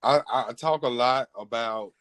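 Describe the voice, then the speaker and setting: A man speaks as a podcast host in a medium-pitched voice. He sounds earnest and informal, laying out his opinions in casual conversation. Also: a little slow, fairly bright tone, smooth.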